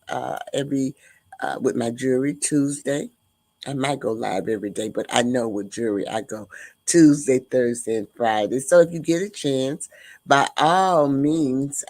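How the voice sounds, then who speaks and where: Slow nasal older woman with gravelly tone. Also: extremely low pitch, a little mellow, no echo. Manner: slow, halting, many fillers. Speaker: host. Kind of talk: casual livestream talk.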